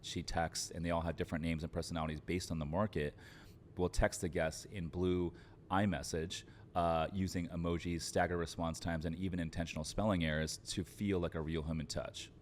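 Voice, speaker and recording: A man's voice: sits low, level tone, brisk, a little hiss or hum. A man giving conversational business advice as an entrepreneur guest on a podcast, informal and sprinkled with filler words. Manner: informal, frequent fillers like "like" and "literally".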